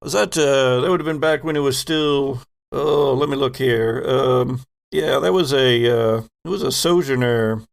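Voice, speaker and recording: Man as a halting, creaky-voiced spaceship boss. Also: mid-range voice. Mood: hesitant, polite. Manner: halting, hedging, mild-mannered. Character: hesitant, polite spaceship boss sounding out his crew. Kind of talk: improvised in-character dialogue in a tabletop game.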